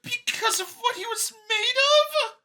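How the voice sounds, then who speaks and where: A man voicing a small female alien scientist in a storybook reading, shrill, distraught and accusing. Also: fairly even intonation, unhurried, pushed and bright, full character voice. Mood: shocked, anguished.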